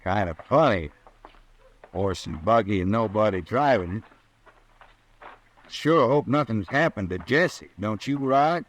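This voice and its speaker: A shifty ranch hand in a radio Western. He talks a lot in a lowish voice, with folksy rural grammar and a bossy streak. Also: animated, a little slow, pauses often, a little hiss or hum. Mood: uneasy, feigned innocence. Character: shifty, talkative cowboy ranch hand, domineering. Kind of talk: old-time radio Western acting.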